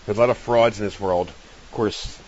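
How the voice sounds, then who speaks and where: A middle-aged man with a deep voice, chatting casually and plainly as a podcast host in a rambling, informal way. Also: fairly even intonation, normal speed, darkish tone. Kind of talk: casual interview chat. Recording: dull lo-fi audio with clear hiss.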